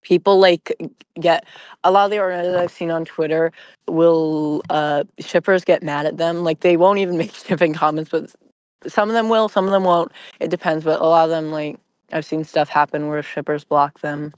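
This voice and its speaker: A podcast panelist chatting casually in a group, telling things in a halting, rambling way. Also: medium pitch, fairly even intonation, smooth, dry recording.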